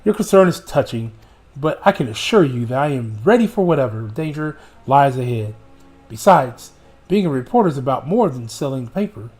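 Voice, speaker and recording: A principled reporter heroine speaks in a formal, earnest way, as a man reads her lines. The pitch stays at an everyday middle level. Faint music runs underneath. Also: very animated, normal speed, a little mellow, close to an everyday voice. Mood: resolute.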